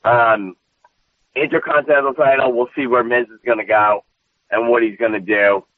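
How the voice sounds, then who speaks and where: A high-energy man with a booming voice, co-hosting a casual wrestling recap and swearing freely. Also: steady tone, moderate pace, no echo. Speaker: podcast co-host. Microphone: phone call. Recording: dry tinny phone line.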